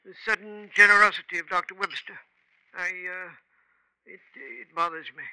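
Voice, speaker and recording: An actor playing a humble, worried janitor in a 1950s radio drama, hesitant and brooding. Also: male voice, sits high, fairly even intonation, moderate pace, pushed and bright, clean tone, no room sound, exaggerated voice, rough recording. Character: uneasy, humble college janitor, husband. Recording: old 1950s radio recording.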